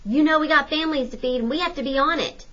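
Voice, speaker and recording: A woman's voice: high voice, quick pace, pushed and bright, dull audio, voice pushed up. Woman voicing a defiant, insistent working man. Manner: insistent, confrontational. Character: defiant, desperate working man arguing to board train.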